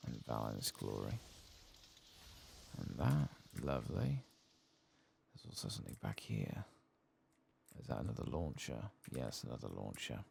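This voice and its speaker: Male game streamer, soft-spoken and casual, heavy gravel in the voice, lively pitch movement. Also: measured pace, pauses often, a little hiss or hum. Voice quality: gravelly, husky. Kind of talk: soft-spoken ASMR gaming commentary, reading quest text aloud.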